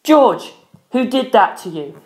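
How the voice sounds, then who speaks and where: A woman voicing a haughty, protective young woman with a sharp temper and an imperious, emphatic delivery in a story reading. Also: on the high side, big pitch swings, slow pace, voice pushed up, poor audio. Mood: alarmed.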